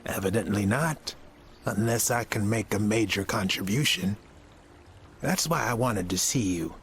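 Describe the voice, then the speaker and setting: An actor playing a weary, bitter middle-aged academic mentor with a gravelly, hesitant delivery in a video game. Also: male voice, lowish voice, measured pace, fairly bright tone, slight background noise. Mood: resigned, bitter. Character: weary, bitter, bookish male scholar and former mentor. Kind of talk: video game cutscene acting. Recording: music under the voice.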